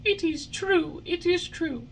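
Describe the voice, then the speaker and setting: A man voicing a wooden puppet boy who pleads and wails in a very high, sobbing voice. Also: fairly even intonation, unhurried, a bit bright, a little hiss or hum. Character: weeping wooden puppet boy, naughty, remorseful.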